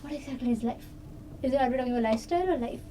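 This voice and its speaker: A woman's voice: sits high, racing, warm tone, slight background noise. A woman talking casually as a participant in an unscripted group discussion.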